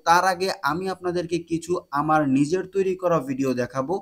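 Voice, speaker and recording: Higher-voiced man hosting a tutorial. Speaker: tutorial video host. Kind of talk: explanatory tutorial narration.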